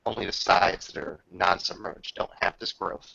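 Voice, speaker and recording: A man's voice: sits low, normal speed, vocal fry, sharp tone, no echo, lo-fi sound. A man improvising descriptive narration as game master of a tabletop roleplaying session.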